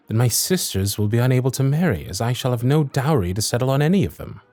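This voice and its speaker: A man's voice: mid-range voice, dark tone, dry recording. A man playing a principled young Victorian earl in a period audio roleplay, speaking in ornate, formal diction. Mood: resentful. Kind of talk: scripted ASMR roleplay, one-sided dialogue.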